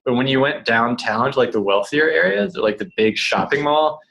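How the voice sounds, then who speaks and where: A man's voice: medium pitch, animated, a little fast, vocal fry, a bit bright, muffled recording. A twenty-year-old man on a student panel, answering casually with lots of "like". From United States. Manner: easygoing, lots of "like".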